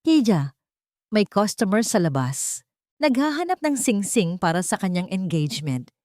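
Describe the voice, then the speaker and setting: A woman voicing a lively, affectionate old grandfather in a narrated drama, upbeat in manner. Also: medium pitch, normal speed, no echo, clean studio sound, natural voice. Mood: upbeat, proud. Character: lively, kindly old grandfather, workshop consultant.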